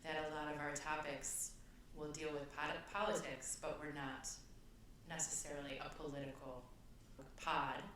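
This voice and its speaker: A woman's voice: low-pitched, flat delivery, normal speed, a bit bright. A middle-aged woman co-hosts a casual podcast conversation, prompting with questions, recorded with heavy echo and at a distance from the mic. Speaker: podcast co-host. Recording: echoey, distant from mic, faint noise.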